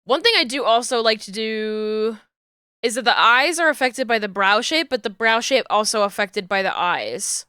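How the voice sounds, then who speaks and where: A woman on a live stream thinking aloud as she explains her rigging steps to chat, her voice going hoarse. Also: fairly high pitch, expressive, moderate pace, bright, forceful tone, dry recording, clean studio sound. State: losing her voice. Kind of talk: casual live-stream talk while working.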